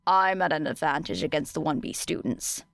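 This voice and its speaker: A woman voicing a grumpy, sardonic teenage boy student with deadpan, grumbling delivery in an audiobook. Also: deep voice, moderate pace, creaky, no room sound, exaggerated voice. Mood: grim. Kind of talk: audiobook character dialogue.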